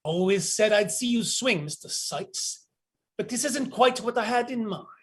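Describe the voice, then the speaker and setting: A man's voice: high voice, expressive, normal speed, breathy edge. A man playing a coldly courteous, menacing military captain in a stage play reading, pompous and mock-polite.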